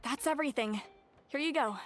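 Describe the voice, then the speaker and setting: Woman playing a high-voiced eager shopkeeper. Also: fairly quick, faint music behind, natural voice. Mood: helpful. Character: eager, helpful young female shopkeeper, easily flustered. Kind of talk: video game voice acting.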